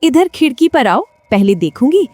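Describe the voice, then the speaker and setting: A woman playing a suspicious, sharp-tongued soldier's wife in a cartoon story, dramatic and scolding. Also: high voice, big pitch swings, moderate pace. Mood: defiant. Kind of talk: Hindi cartoon moral-story voice acting.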